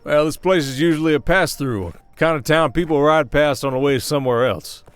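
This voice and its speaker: An actor playing a world-weary, gruff werewolf sheriff with an American Western drawl, folksy and scolding, in an ASMR roleplay. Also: male voice, a little high, a little fast, no room sound, faint music behind, close to an everyday voice. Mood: suspicious, exasperated. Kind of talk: ASMR roleplay acting, Old West.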